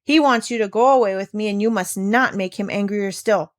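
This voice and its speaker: A woman voicing a brisk, impatient aunt who bosses and coaxes in a storybook. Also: mid-range voice, no room sound, natural voice. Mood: hurried, coaxing. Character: brisk, bossy, impatient adult aunt, coaxing a child.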